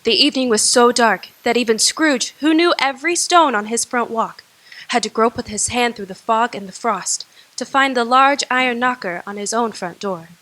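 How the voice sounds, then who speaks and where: A woman narrating a radio drama in a gloomy, deliberate storytelling way. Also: on the high side, a bit bright.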